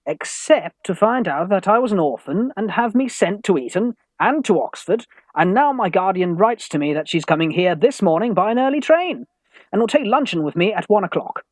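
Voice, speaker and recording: Man playing high-voiced, anxious young English gentleman. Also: expressive, normal speed, dry recording. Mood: plaintive. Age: young adult. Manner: polite, anxious, hesitant. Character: timid, earnest, lovelorn young Victorian gentleman student. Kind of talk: theatrical radio farce acting.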